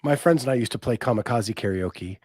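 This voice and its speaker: A man's voice: medium pitch, a little fast, darkish tone, breathy edge, no echo, studio quality. A man hosting a podcast, asking easygoing questions and reading out listener comments. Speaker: podcast host. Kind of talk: casual podcast interview.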